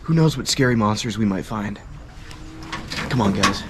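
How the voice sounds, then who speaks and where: A man voicing a goofy male monster hunter in an indie horror game cutscene, slow and mock-dramatic, talking to his viewers. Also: on the low side, lively intonation, noisy background, close to an everyday voice. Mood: mock-spooky.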